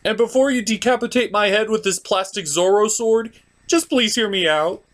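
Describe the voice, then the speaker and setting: A man's voice: fairly high pitch, fairly bright tone. A man hosting a review show, giving informal scripted commentary in an opinionated, conversational way with asides to the viewer. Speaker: host of a cartoon review show.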